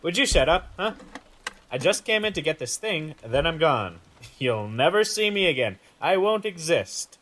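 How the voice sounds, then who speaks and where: A man's voice: a little high, sing-song, moderate pace, fairly bright tone, slight background noise. A man playing a brash, evasive Hollywood actor brother in an audio drama. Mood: irritated.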